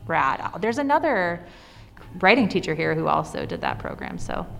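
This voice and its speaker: Low-pitched casual woman in a reverberant room. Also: huge pitch swings, normal speed, a bit bright, faint music behind. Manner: informal, with frequent "like" and enthusiastic emphasis. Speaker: interview guest, author and cartoonist. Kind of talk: casual conversational interview. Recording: reverberant room with clear background noise.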